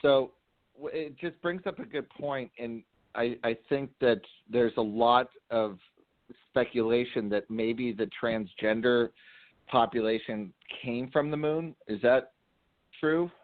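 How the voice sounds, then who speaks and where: A man plays an earnest, long-winded moon expert calling a radio show. His mid-pitched voice stays deadpan and pedantic as he rambles, over a narrow phone line. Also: a little slow, natural voice. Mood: rambling, deadpan. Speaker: prank caller.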